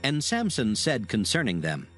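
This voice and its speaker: A man narrates scripture at medium pitch with lively inflection. His storytelling is solemn and measured, with music clearly playing behind him.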